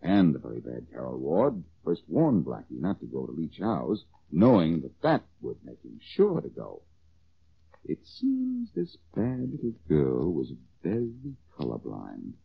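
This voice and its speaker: An actor playing a cocky, quick-witted amateur detective with wry self-assurance in a 1940s radio drama. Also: male voice, very deep voice, wildly swooping pitch, creaky, husky, full character voice. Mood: playful, teasing storytelling. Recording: dull old radio recording.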